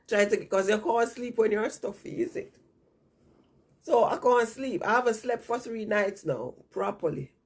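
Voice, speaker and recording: A woman's voice: medium pitch, measured pace, breathy edge. A woman vlogger chatting casually and ramblingly to her viewers while she has a stuffy nose from a cold. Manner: rambling, chatty, repeats herself.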